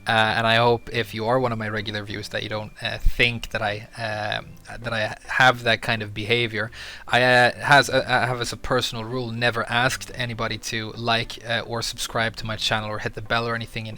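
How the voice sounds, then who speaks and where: Earnest man with a flat, bright voice. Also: on the low side, brisk. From Sweden. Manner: earnest and candid, hesitant with fillers. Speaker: YouTuber. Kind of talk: casual talk to camera.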